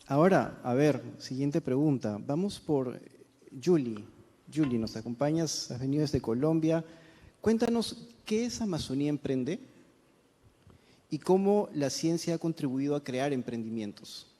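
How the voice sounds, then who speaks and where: A man moderating a conference panel, introducing the next speaker in a brisk, friendly way. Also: fairly high pitch, animated, warm tone. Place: conference hall. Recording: long hall reverb, from far off.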